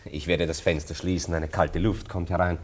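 An actor playing a witty, worldly bachelor in a classic radio play, urbane and sardonic. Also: male voice, deep voice, level tone, normal speed. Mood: dry, prompting. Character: witty, ironic, worldly male bachelor friend.

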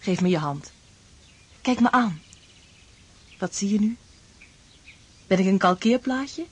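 An actress playing an affectionate, playful young lodger in a radio drama, coaxing and direct. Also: female voice, medium pitch, expressive, takes it slowly, husky. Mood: tender, coaxing. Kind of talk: Dutch radio drama acting.

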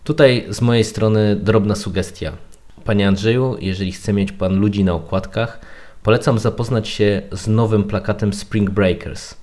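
A man's voice: fairly low pitch, fairly even intonation, moderate pace, darkish tone. A man gives prepared commentary as a podcast guest. His wording is formal, with a wry edge.

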